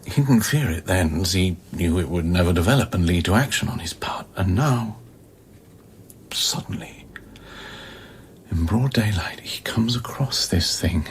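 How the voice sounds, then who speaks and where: A low male voice as a volatile, haunted scientist on a space station in a radio play. He is intense and halting, his pitch swinging widely as he philosophizes bitterly. There is faint noise behind him. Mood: brooding. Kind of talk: dramatic radio play acting.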